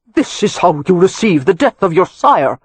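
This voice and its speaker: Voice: mid-range voice, lively intonation, normal speed, a little mellow, husky, dry recording. Man playing an imperious old Anglo-Irish earl. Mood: demanding, dry. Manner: aristocratic, graceful, dry, commanding. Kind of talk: audiobook character dialogue.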